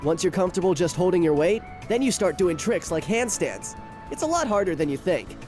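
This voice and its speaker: A man's voice: high voice, sing-song, fairly quick, a little mellow. A young man voicing a laid-back, cocky student gymnast in a visual novel game, casual and teasing. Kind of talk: voiced game character dialogue. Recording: game audio with music behind.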